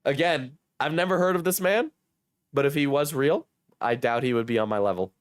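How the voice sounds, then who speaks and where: A hoarse young man with a cold, joking and swearing casually as he reads his chat on a livestream. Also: medium pitch, brisk, dry recording, studio quality. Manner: joking, self-mocking, swears. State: hoarse, sick. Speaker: streamer reading chat.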